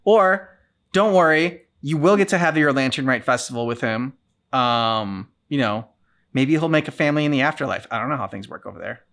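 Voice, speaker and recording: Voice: mid-range voice, lively intonation, brisk, fairly bright tone. A reaction channel co-host casually discussing a video game in a loose, wry, meandering way.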